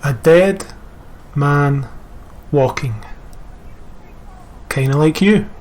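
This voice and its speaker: An actor with a Scottish accent playing a commanding, threatening gang leader. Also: male voice, on the high side, drawn-out words, a little mellow, a little hiss or hum. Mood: menacing.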